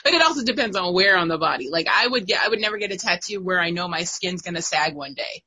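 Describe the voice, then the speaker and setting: A young woman co-hosting a casual chat, talkative and quick to laugh, with lots of "like" and fillers. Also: fairly low pitch, lively intonation, bright, forceful tone, husky. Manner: chatty, laughs often, many fillers. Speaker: guest co-host.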